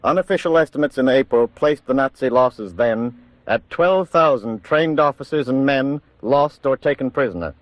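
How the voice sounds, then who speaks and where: A male narrator in vintage 1940s radio style. He is measured and authoritative, with a medium pitch and very dry, close sound. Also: animated, no room sound, low-quality recording. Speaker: documentary narrator.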